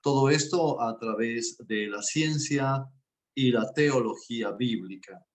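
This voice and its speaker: A man's voice: medium pitch, slow. A man, a guest coach speaking in an earnest, enthusiastic way in a casual interview. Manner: warm and earnest, speaks with enthusiasm about faith.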